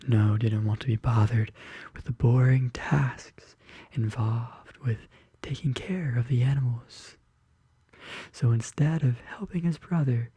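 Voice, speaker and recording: A soft-spoken ASMR host murmuring and whispering intimately and slowly in a soothing bedtime-story style, close to the microphone. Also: medium pitch, husky, no room sound. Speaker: ASMR video creator and host. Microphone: close studio condenser microphone.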